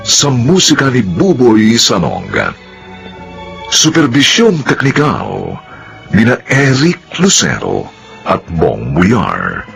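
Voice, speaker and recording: A man's voice: on the low side, creaky, a bit bright. A man reading scripted closing credits as a radio drama announcer, formal and theatrical. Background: faint music.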